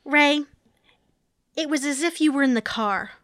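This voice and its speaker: A woman acts out a sitcom wife and mother at a table read. Her voice is high and animated with a sarcastic edge, recorded dry. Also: unhurried, no room sound. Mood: teasing.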